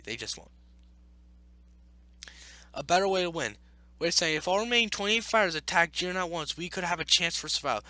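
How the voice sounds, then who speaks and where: A man's voice: sits high, sharp tone, no room sound. A man giving casual reaction commentary as he reads, in an exasperated, argumentative way. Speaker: YouTube commentator.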